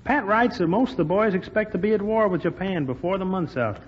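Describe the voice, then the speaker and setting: An actor playing a folksy, easygoing older barbershop customer in a 1940s radio drama. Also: male voice, high-pitched, fairly quick, close to an everyday voice. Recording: muffled, noisy, reverberant 1940s radio recording.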